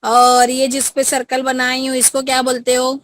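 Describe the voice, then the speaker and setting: A woman's voice: high voice, completely flat delivery, normal speed, no echo. A woman teaching an online class to schoolchildren over a video call, coaxing them and repeating her prompts, with an Indian accent.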